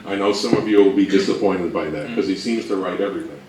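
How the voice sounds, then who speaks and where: A man giving an informal, halting talk on history as a co-presenter, thinking aloud as he goes. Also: low-pitched, darkish tone. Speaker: co-presenter at a fan convention talk. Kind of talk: informal lecture on old radio history. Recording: roomy, distant mic with faint noise.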